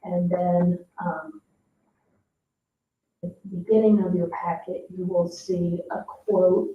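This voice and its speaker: Low, even-voiced American woman, echoey room. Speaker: town parks staff member presenting. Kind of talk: informal presentation at a board meeting. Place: indoor municipal meeting room. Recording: echoey room, distant dull microphone, faint noise.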